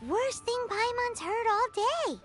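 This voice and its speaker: A woman voicing a tiny, cheeky, excitable fairy-like companion girl in a video game, with a squeaky childlike voice. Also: slow, exaggerated voice. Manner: speaks of herself in third person, exclamatory. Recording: loud music behind the voice.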